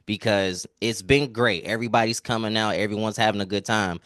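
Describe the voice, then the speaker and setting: Deepish American male interviewer, dry sound. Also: steady tone, brisk, a bit bright, dry recording. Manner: informal, slangy. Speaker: interviewer, entertainment reporter. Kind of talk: casual interview chat.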